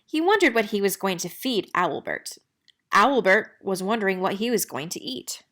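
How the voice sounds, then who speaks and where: A woman reads a children's storybook aloud at a medium pitch. She narrates expressively, as a storyteller would for young listeners. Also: big pitch swings, normal speed, fairly bright tone. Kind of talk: children's picture book read-aloud.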